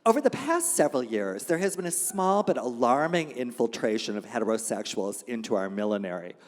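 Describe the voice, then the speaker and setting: A man's voice: mid-range voice, very animated, brisk. Man hosting in a reverberant hall. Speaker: host and reader at a live reading event. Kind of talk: live event hosting and reading of a satirical editorial. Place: indoor gallery event space. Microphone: handheld event microphone. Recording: reverberant hall live recording.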